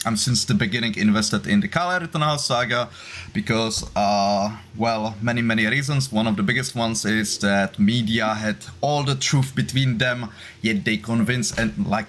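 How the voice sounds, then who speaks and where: A man's voice: fairly low pitch, normal speed, fairly bright tone, a little hiss or hum. A man from the Czech Republic with a Czech accent, a native Czech speaker, chatting casually as a panelist. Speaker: YouTuber, podcast panelist.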